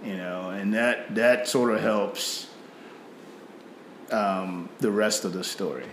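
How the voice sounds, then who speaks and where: A man's voice: lowish voice, steady tone, slow pace, a bit bright, echoey room, a little hiss or hum, poor audio. A middle-aged man from Nigeria with a slight British-tinged Nigerian accent, speaking reflectively about faith, often repeating words and using fillers. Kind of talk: faith conversation.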